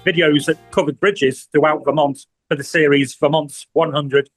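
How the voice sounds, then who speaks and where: An English man in his sixties giving an earnest interview testimonial, often pausing and repeating words as he searches for them. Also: a little high, fairly even intonation, soft background music. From England. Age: 60s. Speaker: community TV producer, award honoree.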